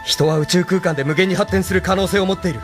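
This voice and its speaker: An actor playing an earnest, defiant young idealist hero in an audio drama. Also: male voice, high-pitched, fairly even intonation, quick pace, music in the background, natural voice. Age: young adult. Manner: earnest, questioning.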